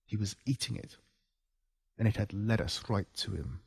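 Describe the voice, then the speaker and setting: A man's voice: lowish voice, moderate pace, vocal fry, breathy edge, dry recording, studio quality. A man with an Australian accent reading a scripted first-person horror story in a dramatic, suspenseful way. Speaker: audiobook narrator.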